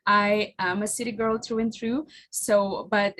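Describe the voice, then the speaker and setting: A woman's voice: mid-range voice, fairly even intonation, measured pace, fairly bright tone. A woman in her forties from the Philippines, with Tagalog as her first language, presents in an easygoing way. Her speech is hesitant, full of ums and restarts. She sounds like she is talking on a video call. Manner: hesitant, many fillers and restarts. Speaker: guest speaker presenting her flower farm. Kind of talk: casual presentation.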